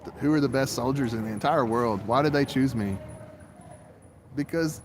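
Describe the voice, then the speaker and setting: A man's voice: medium pitch, moderate pace. A man in his forties talking in a rambling monologue that jumps from topic to topic, with frequent swearing. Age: 40s. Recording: outdoor traffic noise.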